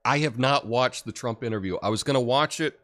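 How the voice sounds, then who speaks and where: A deep-voiced man hosting a livestream, chatting casually and reading viewer comments with lots of fillers. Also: fairly quick. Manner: rambling, relaxed, many fillers and restarts. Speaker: livestream host.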